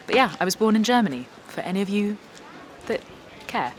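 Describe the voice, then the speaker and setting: A woman's voice: mid-range voice, very animated, a little fast, a little hiss or hum. A young adult woman, a singer-songwriter and guitarist, chatting casually as she reads out viewer questions and answers them with self-deprecating humour. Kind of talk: casual Q&A vlog.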